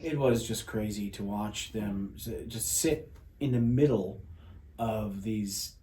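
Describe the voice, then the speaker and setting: A man, an actor and playwright, talking casually and haltingly as he gropes for words. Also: fairly low pitch, warm tone. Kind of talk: casual, hesitant interview chat. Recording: echoey room, faint background noise.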